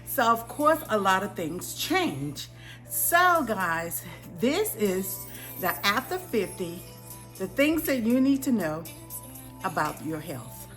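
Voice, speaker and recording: A woman's voice: mid-range voice, sing-song, slow, a bit bright, faint music behind. A woman of fifty talking casually to her viewers, chatty and calling them guys, with lots of ums. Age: 50s. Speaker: vlogger. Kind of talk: casual vlog talk to camera. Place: in a car, driving to appointment.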